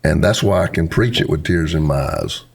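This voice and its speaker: Hoarse, gravelly pastor. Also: male voice, extremely low pitch, expressive, a little fast. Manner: earnest and preacherly, with homespun sayings. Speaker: pastor and podcast host. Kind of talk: casual conversation, closing a podcast episode.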